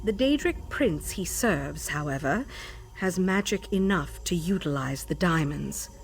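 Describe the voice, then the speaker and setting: A woman's voice: fairly low pitch, expressive, measured pace, vocal fry. An actress playing a stern, scholarly female elven sage in a video game, speaking formally and imperiously. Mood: formal, explanatory. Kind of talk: video game character dialogue.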